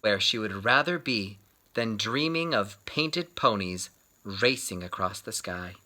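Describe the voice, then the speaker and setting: Lively, unhurried man reading a storybook aloud. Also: lowish voice. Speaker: children's book reader. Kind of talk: expressive read-aloud storytelling.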